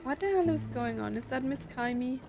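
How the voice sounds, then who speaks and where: A woman's voice: sits high, lively intonation, a little fast, vocal fry. A woman doing casual playthrough commentary, reacting informally with short questions while game music plays behind her. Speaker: gaming streamer.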